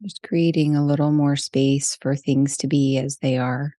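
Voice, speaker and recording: Female meditation guide, soft-edged mellow tone, gentle spaced phrasing, close dry sound. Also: a bass voice, little pitch movement, dry recording. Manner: slow, gentle pauses between short phrases.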